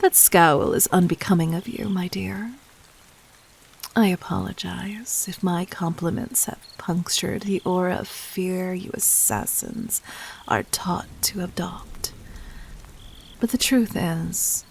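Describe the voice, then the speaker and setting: A woman playing a poised, seductive demon queen in an intimate audio drama, breathy and husky, speaking with formal, teasing condescension. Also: fairly low pitch, lively intonation, takes it slowly, whispered, exaggerated voice. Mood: charmed, condescending.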